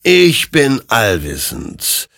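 A man voicing an ominous, all-knowing ghostly rider who speaks in a slow, solemn, measured way in a spoken story. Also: mid-range voice, huge pitch swings, fairly bright tone, dry recording, studio quality, pitched above the performer's own voice, full character voice.

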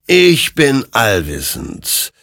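A man voicing an all-knowing spectral rider in a dark story, solemn, menacing and accusing, with drawn-out delivery. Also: mid-range voice, wildly swooping pitch, no echo, studio quality, pitched above the performer's own voice, full character voice. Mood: solemn, commanding.

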